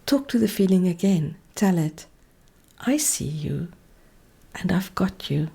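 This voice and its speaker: Slow, husky, breathy woman guiding meditation. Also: fairly low pitch. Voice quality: soft, breathy, often near whisper. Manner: calm, deliberate, gently directive. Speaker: meditation coach. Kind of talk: guided meditation, slow soothing instruction.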